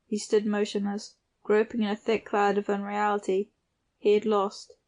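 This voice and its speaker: Female narrator with even, restrained intonation. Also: mid-range voice, moderate pace. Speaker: audiobook narrator. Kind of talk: literary scripted narration.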